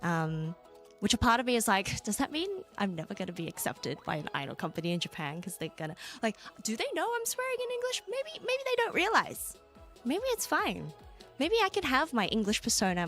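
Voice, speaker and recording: A young Australian woman streamer chatting casually with viewers, laughing often and jumping into high squeals. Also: big pitch swings, brisk. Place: home room. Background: soft background music.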